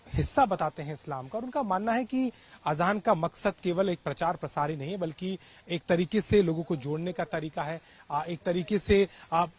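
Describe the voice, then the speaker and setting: A man's voice: sits high, normal speed, husky. A man reporting on location, doing street interviews and pieces to camera. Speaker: field reporter. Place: on location in a local neighbourhood.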